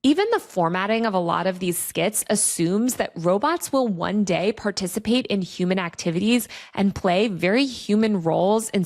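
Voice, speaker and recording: Woman doing a video explainer, medium pitch, kinda wry and opinionated, chatty but scripted. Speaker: video essayist, online culture commentator. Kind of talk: scripted explainer commentary with casual asides.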